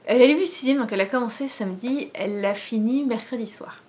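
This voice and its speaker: A woman's voice: fairly high pitch, fast, a little mellow. A woman in her thirties from France chatting casually to camera at home, rambling with many fillers and restarts. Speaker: knitting vlogger. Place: living room at home, indoors. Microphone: camera in front, head and shoulders.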